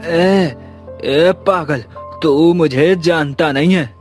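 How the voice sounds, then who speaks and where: Man playing an earnest young villager, higher-pitched. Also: drawn-out words. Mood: angry. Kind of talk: scripted cartoon dialogue. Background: background music.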